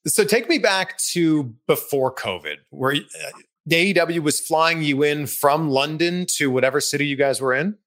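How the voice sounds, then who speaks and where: A man's voice: a little high, moderate pace, fairly bright tone. A Canadian man who hosts on TV, interviewing a guest with friendly, curious questions. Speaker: interviewer, TV host. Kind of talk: casual video interview.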